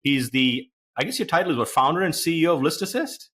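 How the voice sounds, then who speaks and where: A man's voice: a little high, very animated, fast, fairly bright tone. A man from India hosting a podcast, chatty and rambling with many fillers as he introduces and questions his guest. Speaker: podcast host.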